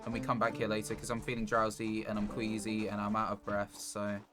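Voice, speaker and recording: A man's voice: on the low side, completely flat delivery, brisk, music in the background. A man live-streaming a survival game, thinking aloud in casual commentary and muttering his decisions to himself. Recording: clearly noisy live stream audio.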